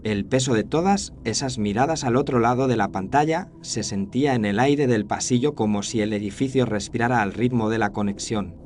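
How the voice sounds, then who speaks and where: A man's voice: mid-range voice, brisk, hardly any pauses, a little mellow. A man reading a scripted first-person horror story as its narrator. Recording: faint noise and ambience behind narration.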